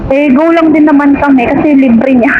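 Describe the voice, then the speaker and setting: A young woman with a high voice chats and giggles as a passenger on a motorbike, polite and rambling with many fillers. The sound is dull and lo-fi. Clear road noise runs behind her. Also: moderate pace. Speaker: passenger going home from work. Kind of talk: casual chat. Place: riding pillion on a motorbike outdoors.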